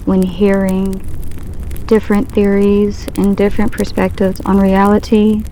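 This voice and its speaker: A woman's voice: medium pitch, monotone, warm tone, slight background noise, rough recording. A woman narrating a hypnotic guided meditation in a soothing, instructional way, as a guide leading the listener. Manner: slow, soothing, instructional delivery. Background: background music.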